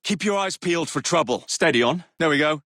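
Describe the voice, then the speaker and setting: An actor voicing a brash, playful young Victorian London gang leader in a video game, with an English accent and a teasing, roguish manner that turns to clipped commands. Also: male voice, a little high, expressive, brisk, a bit bright, dry recording, studio quality. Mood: brisk, commanding.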